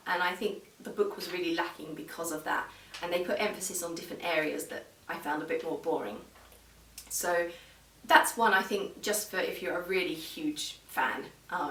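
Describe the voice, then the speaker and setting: A woman giving an informal, measured review straight to viewers, explaining things as she goes. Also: on the low side, fairly bright tone. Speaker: video reviewer. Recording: roomy echo, distant microphone.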